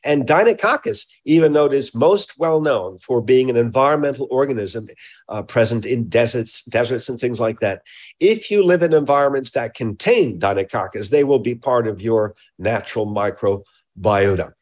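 A man's voice: medium pitch, animated, moderate pace, a little mellow. A man speaking as an expert scientist in an interview, explaining technical matters in a precise, emphatic way.

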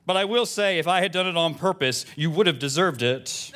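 A man plays a busybody church lady in her seventies, reading out her letters for comedy. The delivery is blunt and gossipy, with indignant, self-righteous complaints in a slightly high pitch. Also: lively intonation, brisk, noisy background, lightly acted. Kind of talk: comic reading of emails aloud.

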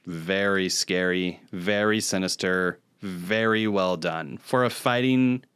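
A man's voice: sits low, slow pace, fairly bright tone, clear, resonant tone. A man co-hosting a podcast, chatting casually about pop culture in an enthusiastic, opinionated way. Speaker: podcast co-host.

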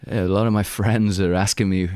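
A young man in his twenties with a Welsh accent, a musician talking in a casual interview, soft-spoken and halting, with frequent laughs. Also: deep voice, steady tone, clean tone, dry recording, studio quality. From Wales. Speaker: musician guest.

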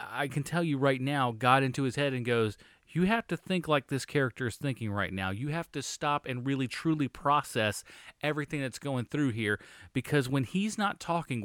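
A man's voice: mid-range voice, animated, normal speed, a bit bright, no echo. Joking man on a dry mic. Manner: jokey, throws in silly quips. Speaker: podcast co-host, film reviewer. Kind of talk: casual chat.

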